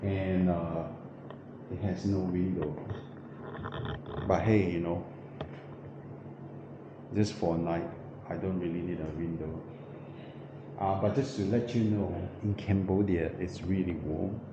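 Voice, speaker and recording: Man, low voice, halting casual vlogger talking to a camera in a small room; boomy and slightly dull. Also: fairly even intonation. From New Zealand. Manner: halting, repeats and corrects himself with many fillers. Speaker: travel vlogger. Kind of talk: casual vlog walkthrough. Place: small windowless hotel room. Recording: echoey room, muffled, noisy background.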